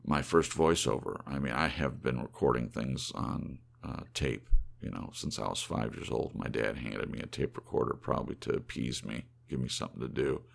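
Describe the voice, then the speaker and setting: A man's voice: moderate pace, gravelly. A man narrating as an amateur channel host, with rain and thunder sounds behind him. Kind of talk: poetry reading and casual listener chat. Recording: faint rumbling rain and thunder noise.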